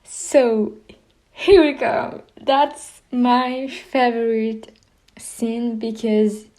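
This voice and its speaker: A woman's voice: on the high side, little pitch movement, extremely slow, dark tone, rough recording. A woman with a girlish voice hosting a fan video, chatting nervously and enthusiastically, with frequent giggles. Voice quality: girlish, childlike voice. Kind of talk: casual fan commentary.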